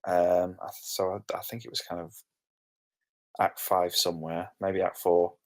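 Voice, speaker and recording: Low-voiced man, hesitant informal feedback. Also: little pitch movement, normal speed. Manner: hesitant, rambling, hedging. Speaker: participant giving script feedback.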